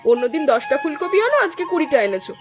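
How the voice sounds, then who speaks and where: Lady doing a sarcastic nagging village wife, cartoon, high voice, quick talker. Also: very animated, over music. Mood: teasing. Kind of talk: cartoon voice acting.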